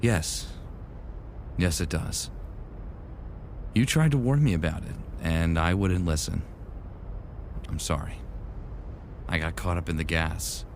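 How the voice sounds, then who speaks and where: A very low-voiced, gravelly actor as a male space soldier, weary and remorseful. He narrates his sci-fi audio drama in an earnest, brooding way over a faint rumble. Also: takes it slowly, long pauses. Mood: rueful.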